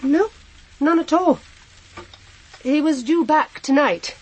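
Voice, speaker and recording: Flustered, higher-voiced middle-aged widow. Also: female voice, a little hiss or hum. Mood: dazed, rambling. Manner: halting, flustered, repeats herself. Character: shocked, hesitant, proper middle-aged widowed housewife. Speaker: actor in a crime drama. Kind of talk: naturalistic radio drama acting.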